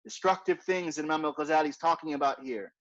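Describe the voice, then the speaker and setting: High-voiced man teaching a religious class. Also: fast, fairly bright tone. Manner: conversational, repeats points, frequent tag "right?". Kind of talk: informal religious class reading. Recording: dull lo-fi recording.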